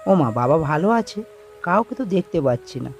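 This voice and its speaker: Cartoon village son, male narrator acting: earnest, eager homecomer, fairly high male voice, music underneath. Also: big pitch swings, a little fast, vocal fry. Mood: searching, eager. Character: earnest village son home from city work.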